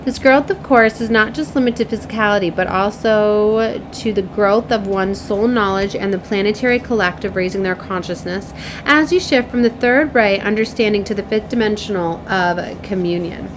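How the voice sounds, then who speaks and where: A woman's voice: mid-range voice, normal speed. A woman giving an informal tarot and oracle reading, speaking in a familiar, mystical manner. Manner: mystical, familiar, calls people baby. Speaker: tarot and oracle card reader, spiritual channeler. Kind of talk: informal card reading mixed with reading card messages aloud. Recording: clearly noisy amateur home recording.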